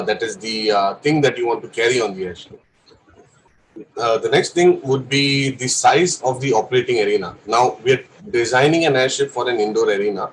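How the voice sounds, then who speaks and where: A man's voice: mid-range voice, a little hiss or hum, rough recording. A young man with an Indian English accent and a cough, giving a technical lecture with frequent fillers. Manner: careful explanation with frequent fillers. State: has a cough. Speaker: presenter of a course session.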